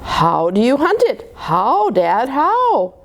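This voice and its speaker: A woman's voice: sits high, huge pitch swings, slow pace, heavily acted. A woman voicing an eager, curious little boy bear in a children's storybook, chirpy and full of questions.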